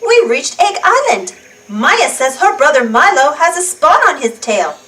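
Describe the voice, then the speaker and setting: A high-voiced woman reads a picture book to small children, taking her time over each phrase. Her delivery is animated and deliberate, and she sounds set back from the microphone. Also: recorded from a distance, low-quality recording. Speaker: children's storybook reader. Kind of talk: animated read-aloud storytelling for young children.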